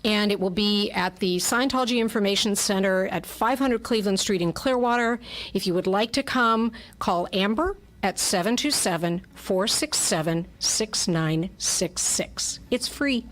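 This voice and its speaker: A woman's voice: medium pitch, moderate pace. A woman speaking as a theatre troupe representative in a casual radio interview, chatting and explaining as she goes. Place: radio station studio.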